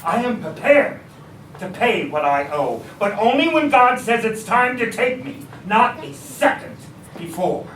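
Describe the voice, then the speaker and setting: A man playing a principled, weary president in a stage musical reading. His voice is high and he speaks slowly and deliberately. It carries from a distance across an echoey room. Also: very animated, a bit bright, slight background noise, full character voice. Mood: resolute. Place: public staged reading in a restaurant.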